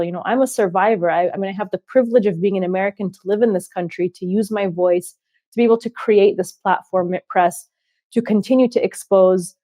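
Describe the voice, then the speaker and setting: Impassioned low-voiced woman host. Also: dark tone, no echo. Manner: impassioned, measured, many fillers. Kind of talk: interview discussion.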